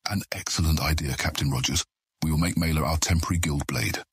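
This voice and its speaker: A shrewd, commanding deputy of a thieves' guild. His booming voice is extremely low and heavily rasped. He speaks formally and deliberately in a dry studio, as in a fantasy audio drama. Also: dry recording, full character voice. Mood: decisive. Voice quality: booming, husky. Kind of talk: full-cast fantasy audiobook acting.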